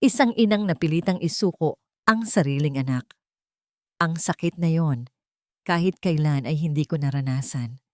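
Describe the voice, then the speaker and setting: A woman reading a scripted audio drama as a storyteller, in an emotive, suspenseful and deliberate way. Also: deep voice, dry recording, studio quality. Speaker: storyteller narrating in first person as an older woman.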